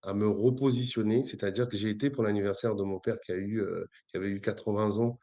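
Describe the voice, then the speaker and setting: A man's voice: lowish voice, steady tone, fast, warm tone. A man in his forties from Congo-Brazzaville, speaking as an interview guest in a hesitant, conversational way full of fillers and restarts. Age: 40s. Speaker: entrepreneur guest.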